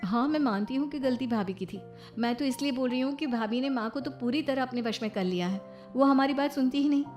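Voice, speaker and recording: Woman acting a high-voiced sensible elder sister. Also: a little fast, a little mellow, faint music behind, close to an everyday voice. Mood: gently reproving. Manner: reasoning, explaining. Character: sensible, gentle young eldest sister.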